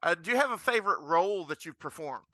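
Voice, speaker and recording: A man's voice: high voice, lively intonation, fast talker, bright, forceful tone, no echo. A man hosting a podcast, chatting and asking questions in a casual interview style.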